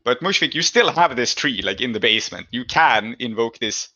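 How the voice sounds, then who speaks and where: Male game master: very sharp, forceful voice, explanatory narration, lo-fi mic. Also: medium pitch, lively intonation, normal speed. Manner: explanatory, checking in with players. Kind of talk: tabletop game narration and rules talk.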